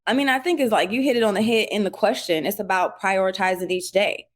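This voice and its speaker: A woman in her forties talks through her life as a podcast guest, in a middle-pitched everyday voice. She chats loosely, full of "like" fillers, and livens her stories by acting out what people said to her. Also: quick pace. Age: 40s. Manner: animated storyteller acting out quoted remarks, many "like" fillers. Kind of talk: casual conversational interview.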